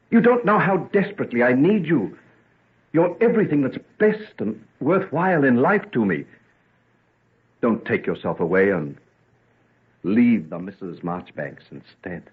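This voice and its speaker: An actor playing an ardent, pleading young medical student in an earnest, impassioned old-time radio drama style. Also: male voice, mid-range voice, breathy edge. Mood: pleading, desperate. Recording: muffled, tinny vintage radio recording.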